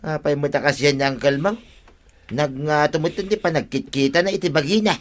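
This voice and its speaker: A man's voice: a little high, fairly quick, close to an everyday voice. An actor playing an offended, grumbling village man in a radio comedy drama, plain-spoken, with an Ilocano Filipino accent.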